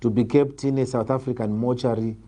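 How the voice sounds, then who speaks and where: A man with a Zambian accent answering political interview questions in a measured, formal, emphatic way. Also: medium pitch, fairly even intonation, mellow. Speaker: political interviewee, party leader.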